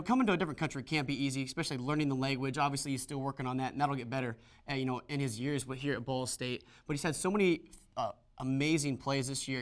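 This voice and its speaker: Fast-talking young sports anchor. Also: male voice, mid-range voice. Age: young adult. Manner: enthusiastic, quick. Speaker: studio anchor. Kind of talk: sports show studio chat. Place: TV studio.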